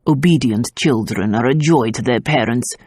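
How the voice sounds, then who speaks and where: Woman doing a gruff, deep-voiced stern policeman. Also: normal speed, a little mellow, dry recording. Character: gruff whiskered middle-aged male police officer.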